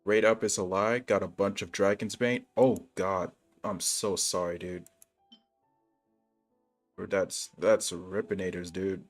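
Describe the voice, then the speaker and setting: A man's voice: low voice, steady tone, a little slow, soft background music. A man livestreaming a video game, chatting casually and talking to viewers in chummy slang. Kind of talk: casual gaming stream chat.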